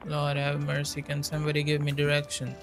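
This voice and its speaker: A man's voice: on the high side, monotone, brisk. A man doing casual live gameplay commentary, muttering to himself and swearing freely. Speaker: game streamer. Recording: game music behind the voice.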